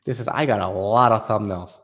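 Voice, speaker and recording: A man's voice: lowish voice, brisk, fairly bright tone, lo-fi sound. A Puerto Rican man with an accent, chatting casually as a guest and restarting often. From Puerto Rico. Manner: hesitant, many restarts. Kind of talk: casual chat.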